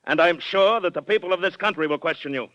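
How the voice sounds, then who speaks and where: An actor playing a proud, stubborn retired colonel with an American accent, formal and emphatic in 1940s radio acting style. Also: male voice, high voice, fast, a bit bright, no echo. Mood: bitter, defiant. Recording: dry, muffled 1940s radio recording.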